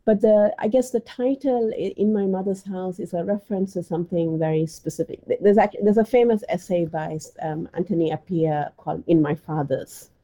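A woman's voice: fairly low pitch, steady tone, a little fast, few pauses, mellow. A middle-aged woman from Sri Lanka, an anthropologist answering interview questions with frequent restarts and fillers. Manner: hesitant, many restarts and fillers. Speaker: anthropologist guest.

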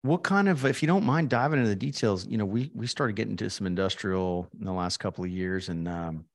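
A man's voice: fairly low pitch, fast talker. A man hosting a podcast, chatting casually and informally with a guest like an old friend. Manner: informal, chummy. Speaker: podcast host interviewing a guest.

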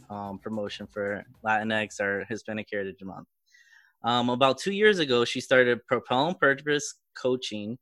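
A man hosting a podcast in a friendly, relaxed chat, often pausing with hesitant fillers. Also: medium pitch. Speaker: podcast host. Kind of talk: casual interview chat.

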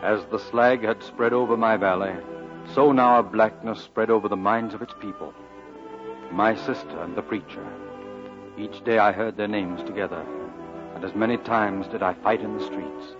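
A man's voice: lowish voice, steady tone, normal speed, lightly acted. A man acting a grown narrator somberly recalling his boyhood, in scripted 1940s radio drama narration. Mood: somber, wistful. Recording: dull old radio recording, music underneath. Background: orchestral string music.

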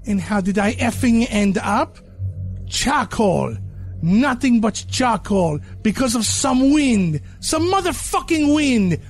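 A man voicing a shrill, bitter ghost ballerina. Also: very animated, takes it slowly, faint music behind, exaggerated voice. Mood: furious. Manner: shrill, scolding, mocking. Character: bitter sarcastic ghost ballerina woman, charred.

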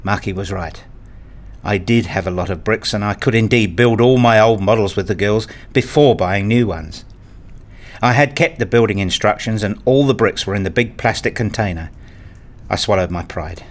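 A man acting as a married father who tells his own story in a radio play. His voice sits fairly low, and he delivers the scripted narration in a measured, reflective manner, wistful and a little fussy. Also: close to an everyday voice.

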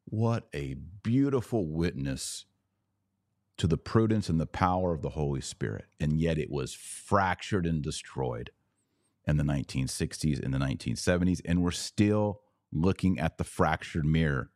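A man's voice: very deep voice, expressive, normal speed, darkish tone, dry recording, clean studio sound. A man hosting a live podcast, reading viewer comments and giving emphatic, opinionated commentary with rhetorical questions. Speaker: podcast host.

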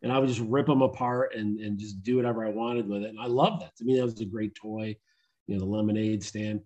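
A man's voice: fairly low pitch, fairly even intonation, fast, mellow, muffled recording. A man in his fifties with an American accent, chatting casually as an interview guest. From upstate New York, United States. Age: 50s. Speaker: podcast guest, entrepreneur.

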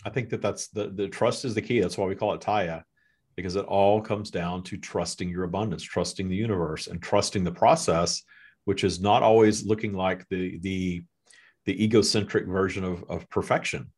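A man hosting a podcast, talking with a guest in a relaxed, halting way. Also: low-pitched, husky. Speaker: podcast host. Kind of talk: casual conversation.

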